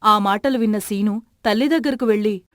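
A woman's voice: mid-range voice, fairly quick, a bit bright, no room sound, clean studio sound. A woman reading scripted narration for a story.

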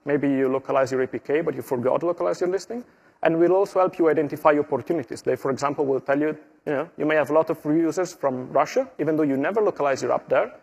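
A middle-aged man from Italy with an Italian accent, giving a steady tech conference walkthrough with small slips and fillers. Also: a little high, fast, vocal fry, breathy edge. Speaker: conference presenter. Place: conference stage.

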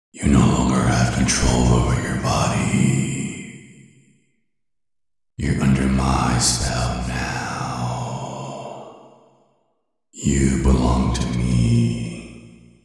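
A man acts out a predatory vampire stage magician for an ASMR roleplay. The voice is exceptionally deep and unhurried. He is hypnotic, smug and domineering, taunting the listener with suave assurance. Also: slightly rough, dry recording, studio quality, exaggerated voice. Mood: hypnotic, commanding.

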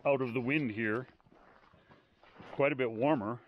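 An older man narrating a hike outdoors on a windy volcanic ridge, calmly explaining the landmarks around him. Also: mid-range voice, highs cut off. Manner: unhurried, explanatory, pointing out landmarks. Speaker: hiking vlogger. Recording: faint wind noise.

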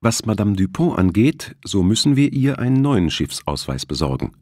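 A polite, businesslike woman officer in an audiobook, read by a man. The voice is husky and dry, with lively movement as it courteously explains procedure. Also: lowish voice, normal speed, no echo, pitched above the performer's own voice. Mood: matter-of-fact.

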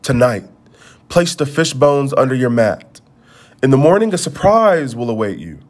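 A man reads as a kindly female spirit from a storybook. The voice is gentle and solemn, consoling in tone, with a lively rise and fall. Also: medium pitch, moderate pace, close to an everyday voice. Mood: gentle reassurance.

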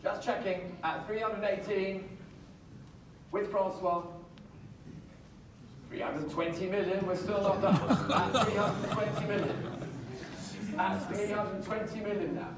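Brisk male auctioneer, high voice, picked up from far back in a crowded room. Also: steady tone, noisy background. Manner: brisk, repetitive calling of bids with light joking asides. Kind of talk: live auction calling. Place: large auction sale room, heard from the audience. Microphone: far phone camera in the crowd. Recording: distant echoey sale room from a phone in the crowd.

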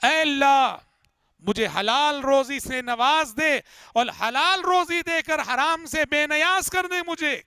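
A middle-aged man delivering a religious lecture, slowly reciting Arabic prayers and explaining them with emphatic repetition, recorded on a headset mic indoors. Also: very high-pitched, sharp tone, dry recording, clean studio sound. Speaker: Islamic lecturer. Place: indoor room with curtained window.

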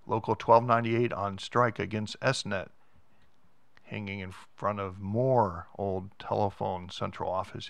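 A middle-aged American man narrates a tour in a casual way. His voice is low and gravelly, recorded close and dry. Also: fairly bright tone, dry recording. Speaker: vlog host and tour narrator.

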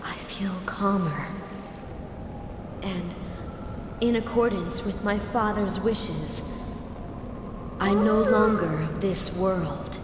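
Casting: actress for doomed, possessed girl in a horror game; frail, drawn-out whispery delivery, echoey and noisy. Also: mid-range voice, lightly acted. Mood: weary, eerie. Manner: halting, breathy, lapsing into whispers. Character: doomed, possessed young woman, frail and sorrowful. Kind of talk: dubbed horror game acting. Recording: echoey cave reverb with noise.

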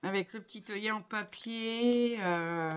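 Craft vlogger, woman in her fifties, mid-pitched and unhurried, gushing thanks in casual, halting phrases. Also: a little hiss or hum. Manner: effusive, appreciative, exclamatory. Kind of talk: casual unboxing chat to viewers. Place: indoor home craft room. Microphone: phone on a tripod at arm's length.

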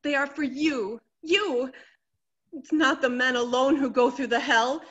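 A woman's voice: high-pitched, steady tone, measured pace, a bit bright, lo-fi sound. A middle-aged woman playing an anxious, melodramatic wife in a stage comedy, fretful and exclaiming. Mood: anguished. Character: anxious, melodramatic wife awaiting soldier husband's return. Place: indoor room, recorded over video call.

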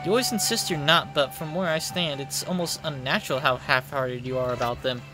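A man's voice: fairly high pitch, a little fast, close to an everyday voice, poor audio. A bossy young warrior queen acted out by a man in a video game. The delivery is emphatic, theatrical and indignant, in a raised voice over faint music. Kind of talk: video game dialogue read aloud.

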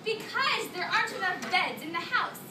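A cheeky little female mouse deer trickster, acted by a young woman for a children's stage story. Her voice is extremely high and swoops in a bold singsong, caught from far off in an echoey room. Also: animated, normal speed. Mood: sly. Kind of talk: children's readers theatre performance. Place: classroom, recorded by a far camera. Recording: echoey classroom from far off, noisy.

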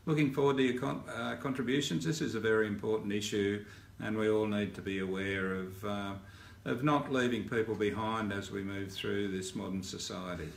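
A man's voice: on the low side, vocal fry. Older Australian man, formal mayoral update. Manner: measured, formal, with frequent "uh" fillers and restarts. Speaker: mayor giving a council update. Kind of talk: spoken video update to residents. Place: indoor office in front of a backdrop. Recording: roomy sound with faint ambient hiss.

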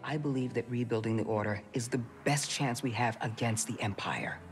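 A woman playing a calm, middle-aged former warrior mentor in a cinematic video game, measured and earnest. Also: very deep voice, faint music behind. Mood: solemn.

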